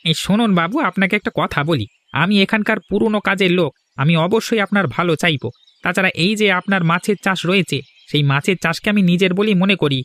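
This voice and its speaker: A man's voice: high-pitched, fast, a bit bright, dry recording. A man voicing a sly, sycophantic farmhand in a cartoon, ingratiating and insistent as he tells tales. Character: sly, lying male farmhand, sycophantic tattletale. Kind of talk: cartoon dialogue.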